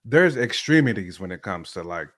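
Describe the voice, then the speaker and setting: A man's voice: low voice, big pitch swings, normal speed, fairly bright tone. A man chatting casually on a podcast, giving opinions in informal, slangy, emphatic phrases. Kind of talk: casual chat, opinionated commentary.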